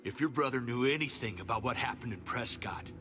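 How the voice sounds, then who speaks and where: An actor playing a bitter, vengeful American survivor in a video game, blunt, cynical and rough-talking. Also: male voice, medium pitch, brisk, over music, lightly acted. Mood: resentful. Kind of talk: dramatic video game voice acting.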